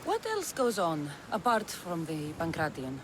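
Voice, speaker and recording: A woman's voice: fairly low pitch, unhurried, background noise. An actress playing a dry, sardonic female mercenary in a video game. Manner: dry, wry, deadpan remarks. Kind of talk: video game cutscene acting.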